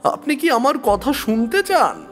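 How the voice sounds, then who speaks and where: Woman playing earnest village boy, high voice, swooping intonation, pleading, insistent, horror audio story. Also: wildly swooping pitch, soft background music, full character voice. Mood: urgent, pleading. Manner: pleading, deliberate, dramatic.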